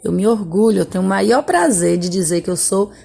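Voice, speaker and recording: A schoolteacher from Bahia, giving a friendly remote lesson in a chatty way and talking to her pupils directly. She has a mellow, husky voice with a gravelly edge. Her pitch sits in the middle. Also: expressive, a little fast. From Bahia, Brazil. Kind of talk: remote classroom lesson read from a textbook.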